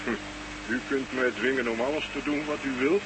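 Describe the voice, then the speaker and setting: Noisy humming radio play, male actor as hearty Russian space commander, folksy, musing, medium-pitched, stubborn. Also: steady tone, fairly quick, close to an everyday voice. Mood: curious, plain. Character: hearty, easygoing Russian space station commander, dogged and plain-spoken. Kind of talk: Dutch science fiction radio play acting. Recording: noisy old radio recording with hum.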